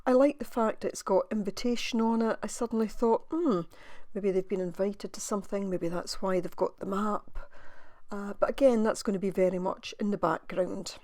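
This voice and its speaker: A woman talks through her crafting in a soft, musing voice-over, her voice a touch croaky. She sits at a mid pitch and pauses to think aloud. The recording is close and dry, with no room around her. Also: no echo. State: slightly croaky voice. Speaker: craft video maker.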